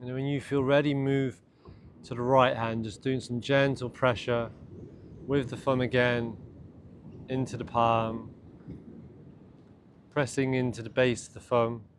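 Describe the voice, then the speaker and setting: A man leads a guided morning meditation and self-massage in a gentle, unhurried, soothing way, speaking in short phrases. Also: mid-range voice. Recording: clear steady background ambient noise.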